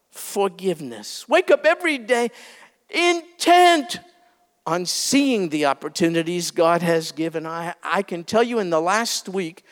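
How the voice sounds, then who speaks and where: High-voiced preacher in an echoey hall. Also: male voice, fairly bright tone. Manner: earnest, exhorting, rising emphasis. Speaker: pastor. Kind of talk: sermon.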